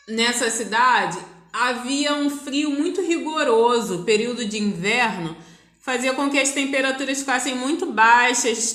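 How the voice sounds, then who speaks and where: A woman's voice: high-pitched, a bit bright. A woman with a Brazilian accent giving a school lesson as a teacher, explaining didactically and repeating key points for emphasis. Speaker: physical education teacher. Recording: echoey, roomy sound.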